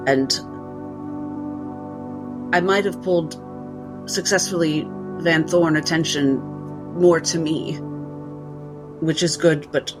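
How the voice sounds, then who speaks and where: A man playing a sly, dry-witted doctor and spellcaster in tabletop roleplay, speaking in a halting, wordy, wry way. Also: a little high.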